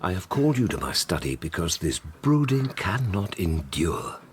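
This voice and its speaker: An older gentleman father in an audio drama, reproving and dutiful. The voice is very deep with strongly varied intonation, formal in manner, over a faintly noisy ambience. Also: very animated, normal speed. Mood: stern, reproving. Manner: formal, admonishing.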